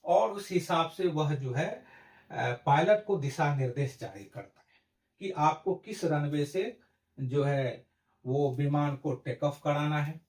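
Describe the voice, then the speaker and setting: A man's voice: on the high side, takes it slowly, darkish tone. A man giving an explanatory lesson in a didactic, step-by-step way. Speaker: educational presenter. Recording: roomy echo, distant microphone.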